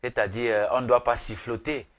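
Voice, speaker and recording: A man hosting a TV talk show, soft in his agreement and hesitant, with many fillers. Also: medium pitch, flat delivery, brisk. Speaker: TV show host.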